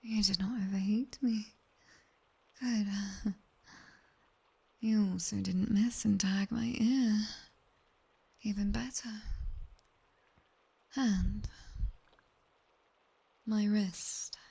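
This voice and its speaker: A British demoness tutor, acted by a woman, whispers very slowly in a breathy, husky hush. Her tone is soft and dark, with a faint crackle of fry. She is sharp-tongued and quietly commanding, giving clipped ASMR sleep instructions close to the mic. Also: medium pitch, steady tone, full character voice. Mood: dry, teasing. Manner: slow, clipped instructions with dry, teasing praise. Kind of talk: ASMR roleplay, guided sleep session. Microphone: close binaural microphone.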